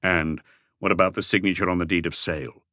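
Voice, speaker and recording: A man voicing a calm, terse police inspector who questions in a measured, probing way for an audiobook. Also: sits low, dry recording, clean studio sound, pitched below the performer's own voice.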